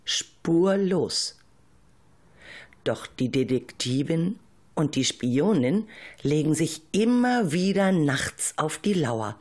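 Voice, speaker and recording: A man's voice: a little high, big pitch swings, slow pace, a little mellow. A man with a German accent narrating a scripted radio play in a suspenseful, theatrical way.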